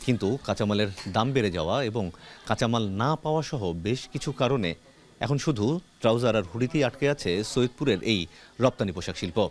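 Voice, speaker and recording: A man narrating a television news report in an even, measured delivery. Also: mid-range voice. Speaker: field reporter.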